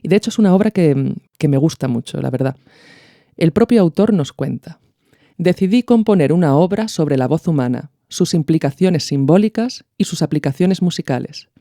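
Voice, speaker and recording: A male podcast host with a fairly high, soft-edged voice, explaining and introducing material. The sound is very dry, with hardly any room around it. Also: expressive, moderate pace, dry recording.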